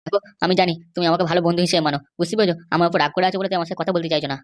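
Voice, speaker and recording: A woman's voice: on the low side, fairly even intonation, no room sound, close to an everyday voice. A woman voicing a scheming, pleading young runaway man in a comedy cartoon, with a pushy, wheedling manner. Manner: wheedling, pushy, fast-talking.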